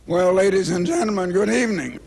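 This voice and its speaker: A man in his eighties, hoarse, giving a formal speech at a banquet in slow, emphatic phrases. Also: high voice, a little mellow. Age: 80s. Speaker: speaker at a banquet. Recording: reverberant banquet hall.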